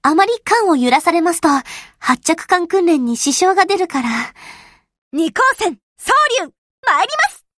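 A young anime warship girl for a game, devoted and cheerful. Her voice is extremely high and bright, with a perky, girlish delivery that swings widely in pitch. She speaks with sweet familiarity, like an earnest secretary. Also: expressive, a little slow, no echo, clean studio sound, full character voice. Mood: gently chiding, idle, then rousing, determined. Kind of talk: Japanese game voice acting, short scripted lines.

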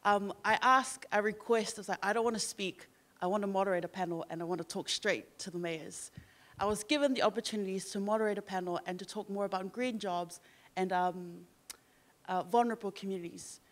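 A woman's voice: medium pitch, a little fast. A young woman from Samoa, a guest on a panel on an event stage, telling a story casually with many fillers into a handheld microphone. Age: young adult. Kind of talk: panel discussion. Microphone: handheld mic.

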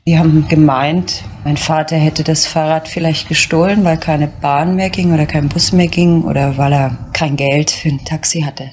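A woman's voice: low voice, fairly even intonation, moderate pace, few pauses, a little mellow, slight background noise, natural voice. An actress playing a subdued young woman, a grieving daughter who answers hesitantly in a naturalistic crime drama. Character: subdued, hesitant grown daughter of a dead drinker.

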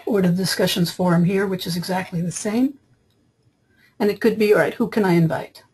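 A woman software trainer narrating a screen tutorial in an explanatory, step-by-step way, with a husky voice. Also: fairly low pitch, brisk. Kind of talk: screen-capture tutorial narration, semi-improvised. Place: voice-over for screen recording.

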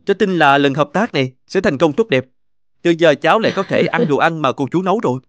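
Man as an earnest, higher-pitched young businessman. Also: animated, quick pace, no echo, faint music behind, natural voice. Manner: polite, sincere.

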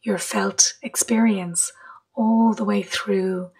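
A woman leading a guided meditation in a soft, breathy voice, gently, with long pauses between short phrases. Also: mid-range voice, fairly even intonation. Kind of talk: guided meditation, slow and measured.

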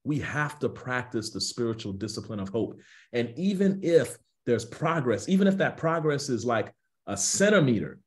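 A man's voice: mid-range voice, sing-song, moderate pace. An American man in his thirties, a pastor speaking off the cuff on a video call with an earnest preacher's cadence, repeating phrases for emphasis. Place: small indoor room on a video call.

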